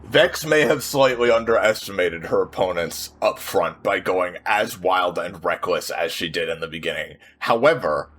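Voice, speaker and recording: A man's voice: deep voice, animated, moderate pace, few pauses, fairly bright tone, a little hiss or hum. A man playing a tabletop role-playing game, thinking aloud, repeating himself and swearing casually as he talks through his moves and narrates his character.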